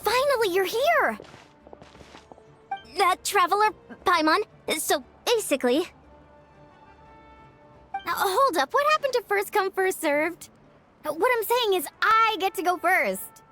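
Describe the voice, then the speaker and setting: A woman voicing a pushy, energetic young woman recruiting for her tribe in a video game. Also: very high voice, soft background music, full character voice. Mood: eager. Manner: eager, persuasive, quick to interrupt.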